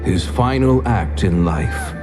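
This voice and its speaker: A man's voice: fairly low pitch, expressive, slow pace, music in the background. A man playing a solemn storyteller, narrating an ancient legend in a video game with grave deliberation.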